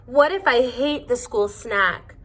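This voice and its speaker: Woman voicing an anxious, high-pitched little girl mouse. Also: lively intonation, slow, a bit bright, exaggerated voice. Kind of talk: children's storybook read-aloud.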